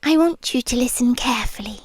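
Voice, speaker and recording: A British woman voicing a possessive, controlling nurse in an ASMR roleplay, speaking in a slow, soft, intimate whisper close to the ear. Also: a little high, slightly rough, no room sound. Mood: coaxing.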